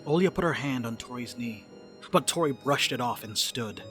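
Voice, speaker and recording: A man narrates a drama script in a mid-pitched voice. His delivery rises and falls in a lively way, with soft music running underneath. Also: moderate pace.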